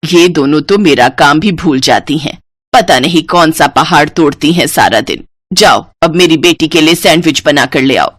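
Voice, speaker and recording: A woman's voice: low-pitched, lively intonation, normal speed, a bit bright, no echo, clean studio sound, pitched below the performer's own voice. A woman voicing an older mother-in-law in a family story, a firm, matronly head of the household who gives instructions. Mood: grumbling.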